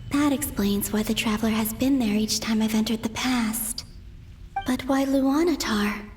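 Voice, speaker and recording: A woman voicing a gentle, pensive young moon goddess in a video game, speaking softly in measured, introspective monologue. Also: fairly high pitch, slight background noise, lightly acted.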